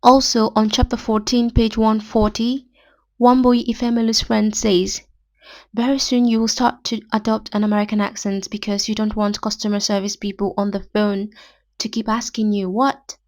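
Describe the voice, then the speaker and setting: A woman's voice: mid-range voice, flat delivery, moderate pace, a little mellow, no echo. A young Nigerian woman with a Nigerian accent, hosting a podcast and reading a prepared literary essay in formal, academic wording. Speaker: podcast host.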